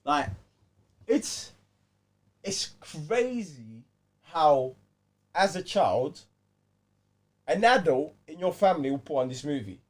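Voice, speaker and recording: A man with a London accent and a high, swooping voice hosts a casual film chat. He talks in slang and circles back on his phrases to drive points home. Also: sing-song, takes it slowly, pauses often. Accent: London British. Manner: slangy, repeats phrases for emphasis. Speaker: podcast host. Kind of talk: casual panel chat about films.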